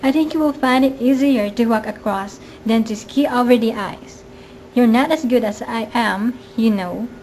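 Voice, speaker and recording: A woman playing a scheming, greedy murderess in an amateur radio drama, falsely sweet and coaxing, with smooth reassurance. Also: a little high, normal speed, darkish tone, lightly acted. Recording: faint background noise, amateur recording.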